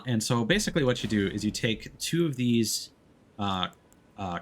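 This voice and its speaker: A man giving casual, explanatory let's-play commentary as a game streamer, talking in a halting way. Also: on the low side, slight background noise. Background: background music.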